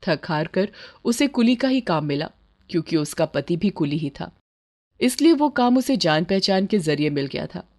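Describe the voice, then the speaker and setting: A woman's voice: on the low side, very animated, moderate pace, darkish tone. A woman narrating a scripted moral bedtime story as a storyteller.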